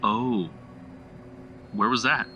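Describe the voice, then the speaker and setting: A man's voice: on the low side, fairly even intonation, very slow, pushed and bright, muffled recording, heavily acted. An actor playing a friendly, casual male police colleague in audio drama dialogue, a little hesitant. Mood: friendly, awkward. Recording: clear background noise.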